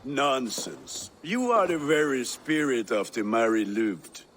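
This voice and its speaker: A man's voice: mid-range voice, sing-song, slow pace, a bit bright, heavily acted. A man playing a proud, playful, grumbling old Viking warrior in a dramatic game cutscene. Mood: mischievous. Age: older. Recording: faint outdoor ambience.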